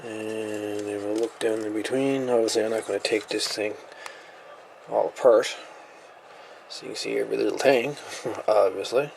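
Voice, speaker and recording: A man's voice: lowish voice, steady tone, moderate pace, creaky, a little mellow, slight background noise, poor audio. A man casually walking through a radio gadget's insides at a workshop repair bench, laid-back and thinking aloud with mumbled asides. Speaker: radio hobbyist reviewer. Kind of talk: casual equipment teardown walkthrough.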